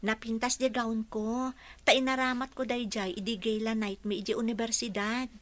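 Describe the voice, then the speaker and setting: A woman's voice: fairly high pitch, level tone, normal speed, natural voice. A woman playing a plucky young housekeeper and dutiful daughter in an Ilocano radio drama, earnest, respectful but pert. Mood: earnest, affectionate.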